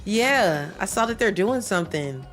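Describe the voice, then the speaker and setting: A woman with a stuffy nose chatting casually with her viewers while she warms up at a game, rambling and repeating herself. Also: mid-range voice, animated, moderate pace, soft background music. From Michigan. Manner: rambling, repeats herself, chats with stream chat. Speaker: livestreamer playing a speedrun practice.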